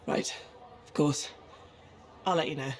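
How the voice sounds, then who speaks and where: A woman's voice: low-pitched, fairly even intonation, slow pace. Brash, scrappy woman troublemaker. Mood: reluctant. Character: brash, scrappy troublemaker, cocky but sheepish. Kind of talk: video game cutscene acting.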